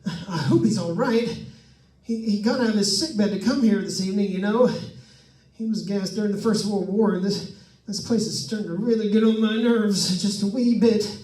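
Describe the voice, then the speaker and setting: A man's voice: sits high, moderate pace, warm tone, lightly acted. A man playing a nervous, chatty radio host alone in a haunted house, stammering and rambling in an old-time radio suspense style. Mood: uneasy. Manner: stammering, jittery, rambling with forced cheer. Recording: echoey room, distant microphone.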